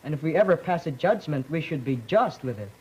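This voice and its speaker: A man's voice: fairly high pitch, expressive, fast, a little mellow, husky, rough recording. A teenage boy from the Philippines who speaks hesitantly, with many fillers, as a student panelist. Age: late teens.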